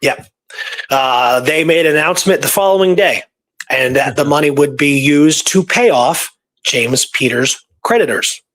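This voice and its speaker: A man's voice: on the high side, normal speed, fairly bright tone, a little hiss or hum, poor audio. A man talking casually through historical research, rambling and informal, repeating points for emphasis. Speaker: history researcher and show guest or co-host.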